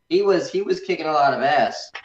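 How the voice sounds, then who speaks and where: A man's voice: on the high side, animated, brisk. A man in a casual film discussion, animatedly acting out imagined remarks as he reviews. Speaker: guest co-reviewer on a movie discussion. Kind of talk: casual chat. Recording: echoey room, dull lo-fi sound.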